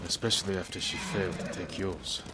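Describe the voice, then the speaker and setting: An actor playing a brooding, loyal samurai in a video game, measured and serious as he questions his companion. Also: sits low, very noisy, close to an everyday voice. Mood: serious, probing. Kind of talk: dramatic game voice acting. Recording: game ambience and hoofbeats over voice. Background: horse hoofbeats, outdoor ambience.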